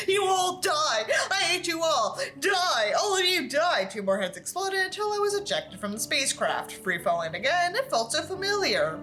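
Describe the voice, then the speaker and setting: A woman's voice: fairly high pitch, pushed and bright. A woman reading a book aloud theatrically, with sarcastic, exaggerated delivery and dry asides. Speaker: host reading a book aloud with mocking commentary.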